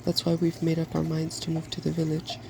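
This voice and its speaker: A man voicing a cheerful, wise, weary dragon in a soft-spoken storybook reading, polite and measured. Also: fairly high pitch, completely flat delivery, vocal fry, background noise.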